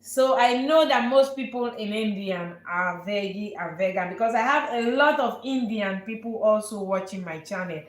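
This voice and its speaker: A woman chef from Nigeria, hosting a live cooking stream and chatting warmly with viewers. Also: mid-range voice, normal speed, few pauses, reverberant. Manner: warm, repetitive, answers viewer questions. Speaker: chef and channel host. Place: restaurant kitchen.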